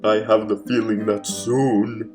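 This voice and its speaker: A man's voice: on the low side, little pitch movement, slow pace, music in the background. A man playing a theatrical, gleeful mad scientist. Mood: scheming. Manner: theatrical, exclaiming, cackling. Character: gleeful megalomaniac mad scientist, villainous and bossy. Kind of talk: 1940s radio mystery acting, comedic.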